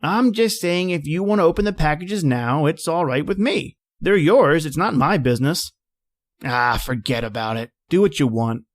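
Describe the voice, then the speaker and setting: A man's voice: a little high, expressive, moderate pace, close to an everyday voice. An actor playing a plain-spoken man at a snowbound outpost, telling his story in a folksy, conversational old-time radio style. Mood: casual, conciliatory.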